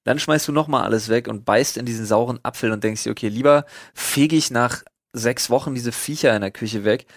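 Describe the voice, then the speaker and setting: A man's voice: mid-range voice, brisk, hardly any pauses, no echo, clean studio sound. A man in a casual podcast chat with friends, swearing and using slang with mock exasperation. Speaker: podcast co-host. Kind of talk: casual banter among friends.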